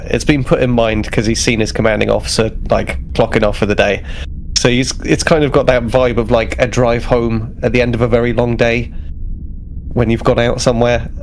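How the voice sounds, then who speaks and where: A man's voice: medium pitch, gravelly, a little hiss or hum. A man casually narrating his character's actions as a player in a tabletop role-playing game, improvising conversationally.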